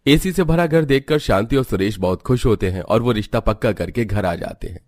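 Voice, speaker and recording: A man's voice: mid-range voice, animated, brisk, darkish tone, no echo, clean studio sound. A man reading scripted narration for a story as its narrator.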